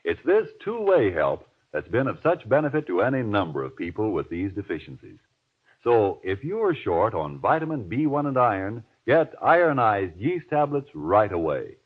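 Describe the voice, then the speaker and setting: A man announcing on 1940s radio, delivering formal links and an emphatic sponsor pitch. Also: very animated, moderate pace. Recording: tinny, narrow-band old radio recording.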